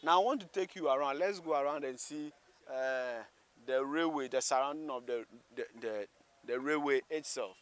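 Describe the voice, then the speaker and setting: A man's voice: mid-range voice, moderate pace, a bit bright, clean tone. A man with a Ghanaian accent giving spontaneous on-location commentary as a presenter, repeating words and phrases for emphasis. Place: outdoors beside a railway.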